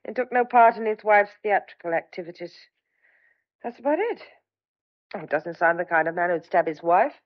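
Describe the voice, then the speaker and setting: A woman's voice: fairly low pitch, animated, normal speed, close to an everyday voice. A young woman playing a kindly, chatty farmer's daughter in an audio drama, polite and a little flustered. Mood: intrigued. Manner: polite, eager, slightly flustered. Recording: dull lo-fi audio.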